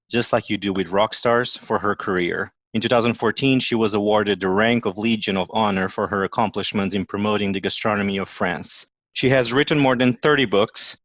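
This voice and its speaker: A man with a Portuguese accent hosts a podcast, reading an upbeat introduction and then chatting with his guest. His voice sits at an average pitch. The sound is dry and close. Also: a bit bright, dry recording, highs cut off. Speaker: podcast host and chef. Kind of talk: podcast interview.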